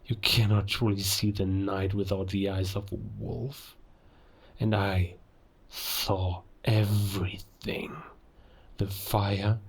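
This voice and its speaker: Casting: male actor for grandiose werewolf king villain, lowish voice, very drawn-out menacing delivery, audio roleplay. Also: husky, full character voice. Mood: hushed awe. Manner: slow, theatrical, deliberate, with hushed dramatic pauses.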